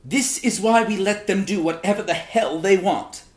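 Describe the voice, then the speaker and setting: A man's voice: high-pitched, moderate pace, voice pushed up, natural voice. A man voicing an exasperated, wry alien scientist in a dramatic reading, with emphatic, incredulous delivery. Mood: resigned. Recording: echoey, roomy sound.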